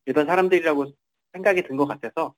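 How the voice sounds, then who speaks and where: A man's voice: fairly high pitch, racing, rough recording. A man reporting in a semi-formal interview, somewhat hesitantly, often repeating and rephrasing his words.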